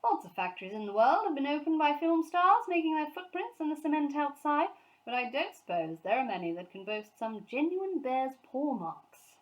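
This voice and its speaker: A woman voicing a pompous, hearty gentleman host in a storybook, with a booming formal manner. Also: big pitch swings, moderate pace, dull audio. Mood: grand, proclaiming.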